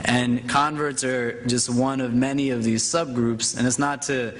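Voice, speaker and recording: A man's voice: mid-range voice, flat delivery, a little slow, a little hiss or hum. Casual self-deprecating male panel moderator. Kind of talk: live conference moderation. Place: conference hall stage.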